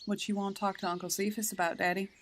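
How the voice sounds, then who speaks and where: A woman's voice: mid-range voice, level tone, fast, fairly bright tone, slight background noise, close to an everyday voice. A woman playing a blunt, self-reliant backwoods daughter with a rural Southern US accent in a radio drama. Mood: exasperated.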